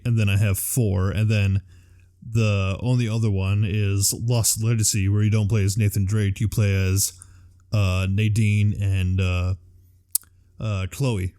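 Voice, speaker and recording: A man with a lowish, mellow voice co-hosts a relaxed, off-the-cuff conversation. He sounds tired and wanders loosely from one thought to the next. The sound is dry and close, with no room echo. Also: measured pace. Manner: rambling, loosely strung thoughts. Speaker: podcast co-host. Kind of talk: casual unscripted chat about films and games.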